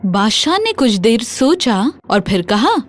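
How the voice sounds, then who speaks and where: A woman narrating a scripted children's fairy tale in an expressive, dramatic storytelling manner. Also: fairly high pitch, unhurried, no echo, faint music behind.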